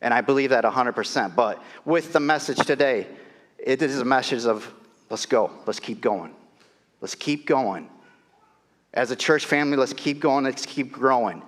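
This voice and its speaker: A man's voice: mid-range voice, normal speed. A middle-aged male pastor with a cold and a nasal voice, preaching a sermon in a casual, storytelling way in a church. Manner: conversational, storytelling, self-deprecating. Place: church sanctuary. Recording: long reverb of a church hall.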